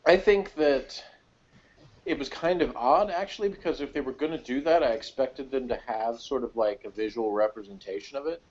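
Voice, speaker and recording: A man's voice: medium pitch, moderate pace, a little mellow, dull audio. A man chatting casually as co-host of a sci-fi TV review, rambling and searching aloud for details. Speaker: podcast co-host.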